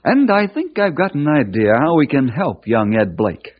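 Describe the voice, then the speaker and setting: A man's voice: on the high side, sing-song, moderate pace. An actor playing an earnest, kindly American man who mentors boys, in an old-time radio drama. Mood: concerned, friendly. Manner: reassuring, wholesome, earnest. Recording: muffled old radio broadcast recording.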